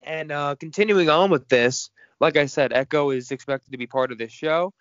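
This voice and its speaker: A man's voice: fairly high pitch, normal speed, dry recording, muffled recording. A man with a stuffy nose, co-hosting a casual chat about movie news in a frank, chatty way. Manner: chatty, frank, laughs between points. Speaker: podcast co-host.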